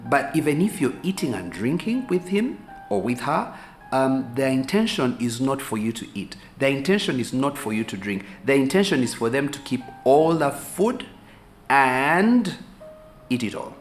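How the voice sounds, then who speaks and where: A pastor in his forties with a Ugandan accent, giving a relaxed, talkative sermon to the camera from a sofa, gesturing as he repeats words while he thinks aloud. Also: mid-range voice, normal speed, faint music behind. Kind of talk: conversational teaching sermon to camera. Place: small room, seated on a sofa indoors. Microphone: camera at a few feet, medium shot. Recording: echoey room with faint hiss.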